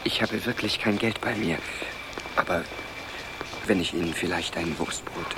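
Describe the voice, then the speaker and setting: A man's voice: sits low, moderate pace, very noisy, lightly acted. A man playing a timid, polite clerk in a radio drama, hesitant and deferential in his speech. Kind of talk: 1970s German radio drama acting.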